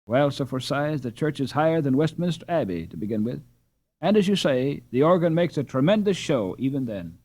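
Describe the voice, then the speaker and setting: A man doing a brisk, know-it-all boy. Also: on the high side, sing-song, darkish tone, dry recording. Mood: explaining. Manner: brisk, informative. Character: brisk knowledgeable Dutch boy interpreter.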